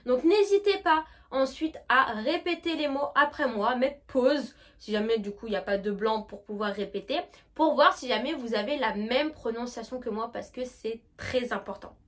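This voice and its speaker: A woman with a French accent leads an online English lesson in a high, light voice. She talks to the viewer directly and informally, and she stretches out each English model word so it can be repeated. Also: normal speed, a bit bright. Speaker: English teacher.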